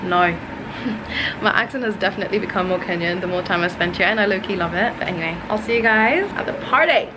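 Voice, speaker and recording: A woman's voice: mid-range voice, fast, music in the background, poor audio. A young woman in her twenties chatting casually to her camera as a vlogger, upbeat and self-mocking. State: hoarse, voice not back. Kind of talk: casual vlog chat to camera. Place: hotel bedroom. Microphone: handheld camera close to face.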